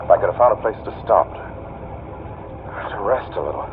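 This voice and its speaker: A man acting a haunted, anxious motorist in a 1940s radio suspense drama, speaking deliberately with tense hesitations. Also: lowish voice, lively intonation. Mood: brooding dread. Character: haunted male motorist, increasingly anxious, narrating his journey. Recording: scratchy, tinny 1940s radio recording, noisy.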